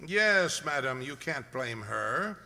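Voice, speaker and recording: A man playing a shrewd, commanding gentleman detective in an incisive, theatrical radio-play style. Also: medium pitch, very animated, takes it slowly, gravelly, heavily acted. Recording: echoey hall.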